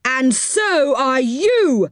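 A bad-tempered storybook man acted out by a woman narrator. The voice is pushed up and animated, shouting and scolding in loud indignation. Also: fairly high pitch, normal speed, dry recording, clean studio sound, pitched above the performer's own voice. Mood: angry, scolding.

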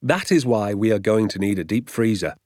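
Storybook dad, played by a man, giddy with triumph and boastful, emphatic, relishing every word, wildly varied pitch. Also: animated, fairly quick, a little mellow, no room sound, studio quality. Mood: eager. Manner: grandly emphatic, stretches words with relish.

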